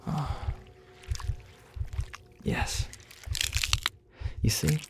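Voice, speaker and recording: A man playing a sinister, sadistic monster in a horror ASMR roleplay, gloating and musing theatrically with a gravelly creak. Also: heavy background noise. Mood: focused, gloating. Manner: slow, menacing, gloating, theatrical musing with drawn-out words. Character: sinister male monster, sadistic flesh-sculpting predator toying with prey.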